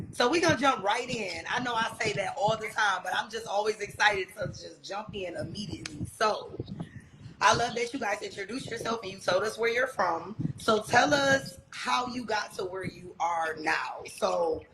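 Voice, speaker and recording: A woman's voice: mid-range voice, moderate pace. Bubbly woman host on a noisy call. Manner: bubbly, encouraging, repeats words. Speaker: podcast host. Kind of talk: casual interview. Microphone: video call.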